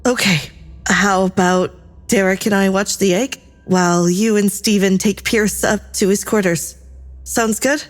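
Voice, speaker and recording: A woman's voice: mid-range voice, fairly even intonation, a little slow, lightly acted. A woman playing an anxious, defiant crew member in an audio drama, speaking earnestly and with emotion. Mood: uneasy.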